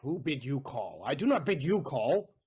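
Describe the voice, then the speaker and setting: A man's voice: medium pitch, sing-song, moderate pace, a little mellow, husky. A man playing a bitter, suspicious old miserly father, a moneylender, in a Shakespearean audio play. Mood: irritated.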